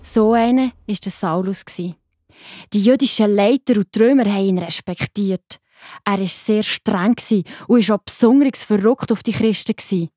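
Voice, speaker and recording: A woman with a Swiss German accent narrating a Bible story for children in a gentle, explaining manner. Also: mid-range voice, moderate pace, no room sound, clean studio sound.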